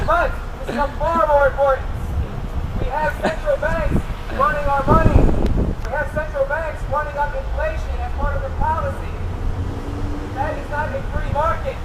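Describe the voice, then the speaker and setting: A man giving an insistent political street speech through a bullhorn, firing rhetorical questions at passersby as an activist. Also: very high-pitched. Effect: amplified through a bullhorn. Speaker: political activist campaigning in public. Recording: outdoor street recording with clear traffic noise.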